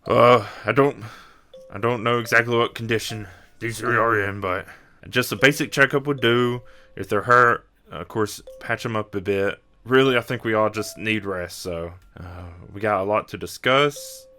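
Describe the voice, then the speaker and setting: An earnest, weary team leader creature from a tabletop adventure, played by a man. The voice is bright and clear. He hands out instructions with hesitant pauses but a serious purpose. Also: fairly low pitch. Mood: businesslike.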